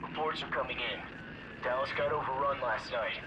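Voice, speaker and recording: Casting: terse army radio operator, businesslike, medium pitch, game dialogue with clear background noise. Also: male voice, normal speed, muffled recording. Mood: urgent, businesslike. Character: businesslike male military radio dispatcher.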